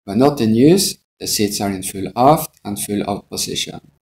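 A man's voice: lowish voice, lively intonation, moderate pace, darkish tone, a little hiss or hum. A middle-aged man, an airline pilot, giving a methodical, scripted instructional narration.

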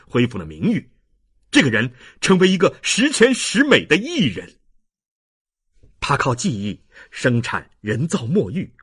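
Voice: mid-range voice, a bit bright, slightly rough, full character voice. An audiobook narrator voicing a stern, contemptuous young baron with a commanding manner. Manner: commanding, deliberate.

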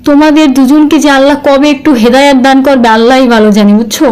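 A woman's voice: high voice, fast talker, darkish tone, natural voice. A woman voicing a cheeky young granddaughter in a comic cartoon, disapproving and slyly defiant. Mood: indignant. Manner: sassy, scolding. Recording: clearly noisy track with clicks.

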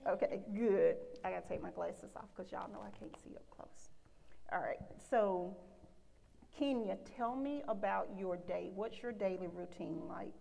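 A woman's voice: medium pitch, measured pace, gravelly, mellow, a little hiss or hum. A woman with a Southern US accent moderating a church panel discussion, upbeat and saying amen often. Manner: upbeat, frequent amens. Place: large church sanctuary. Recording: long reverb of a church hall.

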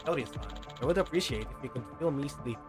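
A man's voice: medium pitch, expressive, fast. Playful male game streamer over music. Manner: playful, ad-libbing, stumbles while reading. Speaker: livestreamer. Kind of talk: reading game dialogue aloud with casual commentary. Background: video game music.

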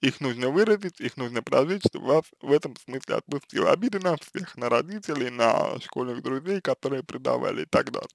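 A man giving informal counseling advice, halting, often repeating and restarting his phrases. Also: mid-range voice, lively intonation, vocal fry. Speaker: counselor answering written questions. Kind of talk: reading a letter aloud, then informal advice.

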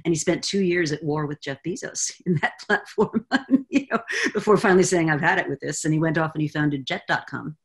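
A woman in her sixties explaining with confident, animated energy as guest expert on a video call from a home study. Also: low voice, fairly quick. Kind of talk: video call roundtable answer. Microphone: webcam on a video call.

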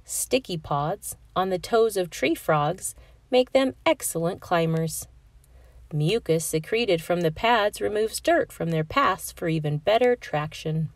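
A woman reads a children's storybook aloud as narrator. Her pace is slow and measured, and the recording is dry with little room sound. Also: lowish voice, animated, dry recording. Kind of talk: scripted picture-book narration.

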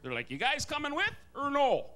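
A man from Wisconsin doing stand-up in a comedy club, with a nasal Wisconsin accent and an animated delivery full of put-on voices. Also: extremely high pitch, fairly quick. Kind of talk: stand-up set. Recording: live club with crowd and noise. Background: audience laughter.

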